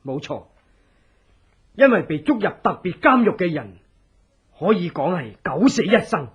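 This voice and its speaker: An actor playing an earnest, secretive revolutionary, speaking with urgent, theatrical persuasion in a radio drama. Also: male voice, high voice, sing-song, a little slow, husky, full character voice. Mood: grave, guarded. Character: earnest, secretive revolutionary, escaped political prisoner. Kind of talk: Cantonese radio drama acting. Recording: dull, lo-fi old radio drama.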